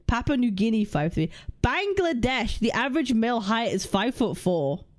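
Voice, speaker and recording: A woman's voice: on the high side, sing-song, moderate pace. Brash, swearing young woman streamer. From United Kingdom. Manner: blunt, swears freely, thinks aloud while reading lists. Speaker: livestream host. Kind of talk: casual livestream chat reading chat aloud.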